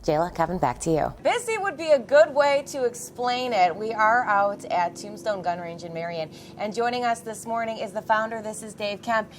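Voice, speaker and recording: A woman's voice: mid-range voice, lively intonation, normal speed, few pauses, a little hiss or hum. A young woman reporting local news and weather for TV in an upbeat, chatty way, with a few fillers.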